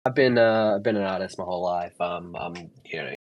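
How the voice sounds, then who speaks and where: A man's voice: deep voice, brisk, dull audio. An Australian man in his mid-thirties, a guest artist, speaking hesitantly with ums and some self-deprecating humor. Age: 30s.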